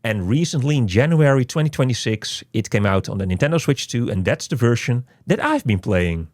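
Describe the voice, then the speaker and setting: A man's voice: medium pitch, lively intonation, brisk, darkish tone, no echo, studio quality. A man casually hosting an unscripted video game review, chatty and rambling, often repeating words and phrases. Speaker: host of a game review channel.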